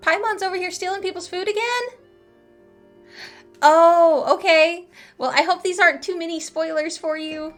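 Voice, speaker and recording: Female game streamer, American accent: playful, chatty, swooping high voice, music in the background. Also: fairly even intonation, moderate pace, fairly bright tone. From eastern United States. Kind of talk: casual live gameplay commentary.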